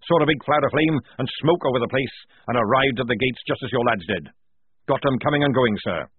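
A man plays a gruff old sergeant who barks every line in a rough, forceful roar. The voice is husky. It sounds dry and tinny, like a phone line. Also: mid-range voice, fairly quick, no room sound, pitched above the performer's own voice, natural voice. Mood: roaring, defiant. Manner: barking, forceful, rough speech. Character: gruff loud old male army sergeant.

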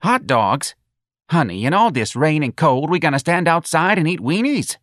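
A man's voice: medium pitch, sing-song, moderate pace, fairly bright tone, no echo, studio quality, voice pushed up. A man voicing a plain-spoken older working-class mother in a colloquial, plaintive manner for an audiobook. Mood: plaintive, fussing.

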